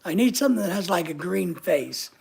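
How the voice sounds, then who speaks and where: A middle-aged man with a voice high enough that strangers take it for a woman's. He chats loosely with viewers, joking at his own expense. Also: expressive, a little fast, creaky, a little mellow, slightly rough. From United States. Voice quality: high voice often mistaken for a woman's. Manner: chatty, joking, self-mocking. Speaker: channel host reading live chat. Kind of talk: casual livestream chat.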